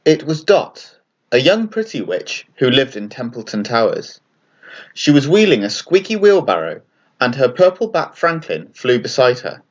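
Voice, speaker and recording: A man's voice: mid-range voice, very animated, normal speed, highs cut off. A man narrating a scripted children's bedtime story as a storyteller. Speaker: author and storyteller.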